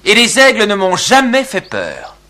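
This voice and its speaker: A man's voice: high voice, huge pitch swings, moderate pace, noisy background, heavily acted. A man voicing a proud, defiant chief of prehistoric hunters in a cartoon, assertive and boastful. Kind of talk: animated cartoon acting.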